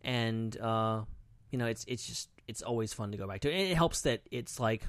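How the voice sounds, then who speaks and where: A man's voice: on the low side, expressive, normal speed, a bit bright, no room sound. Casual male podcast co-host, close and dry. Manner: informal, talks in loose fragments peppered with "like". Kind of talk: casual pop-culture podcast chat.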